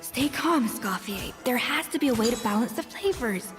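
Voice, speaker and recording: Game head chef, actress: principled, formal, firm, in long reverb with faint music. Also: female voice, on the high side, lively intonation, normal speed, close to an everyday voice. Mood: composed. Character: principled, composed woman head chef, stern but fair. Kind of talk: game voice acting.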